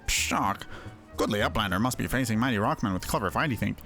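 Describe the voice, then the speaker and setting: Man voicing an excitable goblin with broken speech. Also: lowish voice, fairly quick, faint music behind. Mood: excited, encouraging. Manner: broken grammar, odd compound words. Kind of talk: voiced game dialogue.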